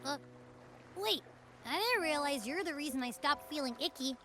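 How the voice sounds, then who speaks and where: A woman's voice: high voice, expressive, moderate pace, pushed and bright. A woman voicing a small, indignant, childlike female companion in a video game. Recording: music behind the voice.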